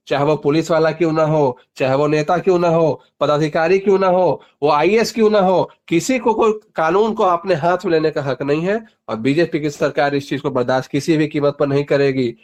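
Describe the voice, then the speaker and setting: Male political interviewee on a phone call, high voice, insistent and repetitive for stress. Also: a little mellow. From Bihar, India (Magadh region). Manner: emphatic, repeats phrases for stress. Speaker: party politician being interviewed.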